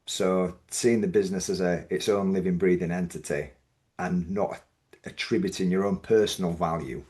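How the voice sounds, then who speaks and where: Yorkshire man, middle-aged, deep voice, measured reflective guest. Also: steady tone, darkish tone. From Yorkshire, England. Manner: thoughtful, measured, with frequent restarts. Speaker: business owner guest. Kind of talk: reflective podcast interview.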